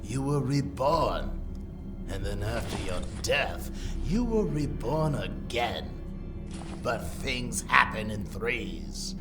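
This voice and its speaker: A man playing a sinister, theatrical madman crime boss in a comic dub, gravelly and menacing. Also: medium pitch, expressive, takes it slowly. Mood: sinister, relishing. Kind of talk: comic dub dramatic voice acting. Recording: voice over clear background music.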